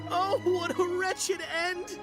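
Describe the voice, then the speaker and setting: An actress voicing a frightened, gullible youth with a melodramatic, pleading manner in an anime game. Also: female voice, extremely high pitch, level tone, slow pace. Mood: dramatic dread. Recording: music behind the voice.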